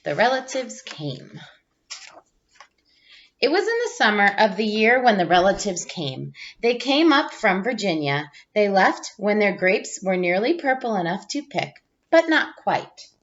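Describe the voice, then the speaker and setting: A woman with a mid-range voice reads a children's storybook aloud as a teacher would. Her delivery is expressive, with certain phrases stretched for emphasis. The recording is a little dull and lo-fi. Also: medium pitch, measured pace. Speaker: teacher reading aloud to pupils.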